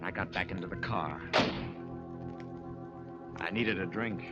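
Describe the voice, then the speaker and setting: Guilty american killer, low edgy hardboiled voice, old muffled radio with loud music. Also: male voice, measured pace. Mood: grim narration. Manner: tense, terse, hardboiled. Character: nervous, guilty murderer telling his own story. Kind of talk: 1940s radio acting, dramatic narration.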